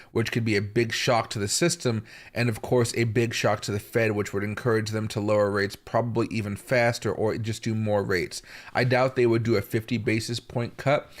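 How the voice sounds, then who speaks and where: A man with a hoarse voice from a chest infection, hosting a live market commentary stream at an unhurried pace with frequent pauses and fillers. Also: lowish voice. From Seattle area, US. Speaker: crypto market livestream host.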